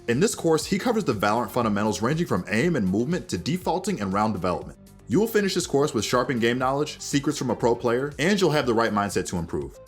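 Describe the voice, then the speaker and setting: A man hosting a gaming news update in a scripted, presenter-like narration, speaking directly to viewers. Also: mid-range voice. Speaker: host of a gaming news show.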